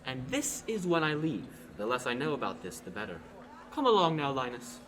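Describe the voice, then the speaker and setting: A man's voice: mid-range voice, very animated, brisk, lightly acted. A teenage student actor playing a scornful, bossy young nobleman in a radio play, sneering and dismissive. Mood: impatient. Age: teens. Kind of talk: amateur radio play acting. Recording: noisy amateur home recording.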